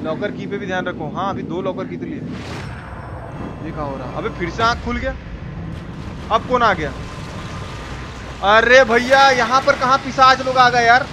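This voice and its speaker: A man with an eastern Indian Hindi accent giving casual, excitable, slangy gameplay commentary as a live-streamer over game sound. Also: high voice, moderate pace, long pauses. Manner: excitable, crude slangy exclamations. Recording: noisy game sound behind voice. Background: horror game sound.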